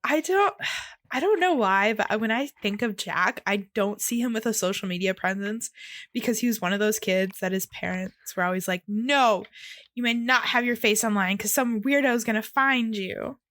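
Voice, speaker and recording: A woman's voice: medium pitch, moderate pace, fairly bright tone, dry recording, clean studio sound. A woman co-hosting a podcast, chatting casually in an informal, hesitant and giggly way. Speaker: podcast co-host. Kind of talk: casual chat.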